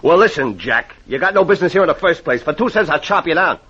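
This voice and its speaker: Blustery tough-guy thug, husky and swinging around in pitch, old radio crime show. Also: animated, fast, a bit bright. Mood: menacing. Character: cheap thuggish male private detective, blustering bully. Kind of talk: 1940s-50s radio drama acting. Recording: dull vintage radio recording.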